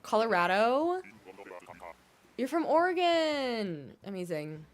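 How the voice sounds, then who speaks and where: A woman streaming a game, chatting fast and casually with her viewers. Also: on the high side, big pitch swings. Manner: fast-talking, chatty, bubbly.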